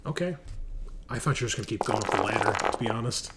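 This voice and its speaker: A man's voice: on the low side, lively intonation, fast, creaky, heavy background noise. A man running a tabletop game as game master, informal and sweary, thinking aloud as he goes. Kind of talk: casual tabletop game refereeing. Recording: voice over constant music. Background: music.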